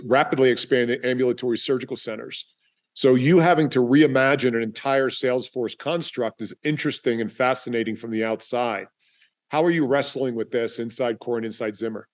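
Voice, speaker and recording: A man moderating a webinar panel, posing questions in a conversational way. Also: mid-range voice. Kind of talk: panel discussion.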